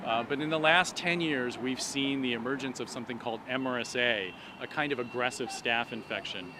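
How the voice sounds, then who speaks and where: Guy doctor activist explaining stuff in an outdoor interview, clear traffic noise behind him. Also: medium pitch, brisk. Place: outdoors on an urban street at a protest. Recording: noisy city street with traffic. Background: street and traffic noise.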